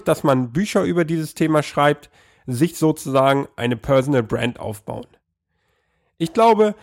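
A man hosting his podcast while he has a cold, welcoming listeners cheerfully and asking questions with a few fillers. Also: fairly high pitch. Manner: upbeat, welcoming, hesitant with fillers when asking. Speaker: podcast host. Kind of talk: podcast intro and interview.

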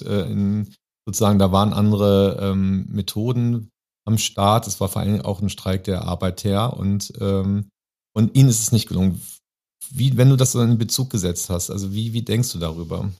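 A man hosting a podcast in informal political discussion, phrasing things in a halting, loosely structured way. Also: lowish voice, vocal fry, a little mellow. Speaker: podcast host.

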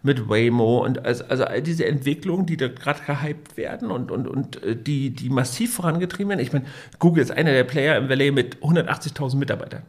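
A man's voice: mid-range voice, fast, few pauses, darkish tone. A man co-hosting a casual business podcast, telling stories with many fillers and restarts. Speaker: podcast co-host.

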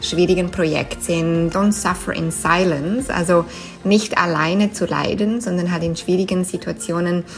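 A Swiss woman with a Swiss German accent, answering interview questions thoughtfully, often pausing with fillers and restarting sentences. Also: fairly low pitch, fairly even intonation, faint music behind. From Switzerland. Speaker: interview guest.

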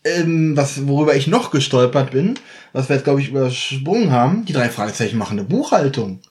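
A man's voice: mid-range voice, animated, brisk, a little mellow. A man co-hosting a podcast, chatting casually and often breaking off to restart his thoughts. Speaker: podcast co-host. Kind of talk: casual chat.

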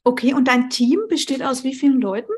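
A woman's voice: sits high, brisk, darkish tone, husky. A middle-aged woman interviewing in a conversational style, reflectively building up long questions step by step.

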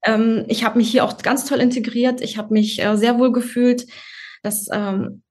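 A woman in her thirties, born in Russia and raised in Germany, telling her personal story as a podcast guest with many pauses and fillers. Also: on the high side, little pitch movement, a little mellow. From born in Russia, grew up in Germany. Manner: hesitant, many fillers and restarts.

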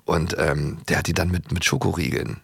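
Male podcast co-host, gravelly and wry, casual colloquial talk, very dry close sound. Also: deep voice, little pitch movement, moderate pace, no room sound. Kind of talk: casual chat.

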